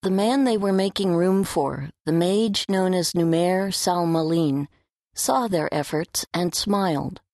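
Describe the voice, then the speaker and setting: A woman's voice: on the low side, measured pace, a little mellow, dry recording, clean studio sound. A woman reading scripted story narration for an audiobook.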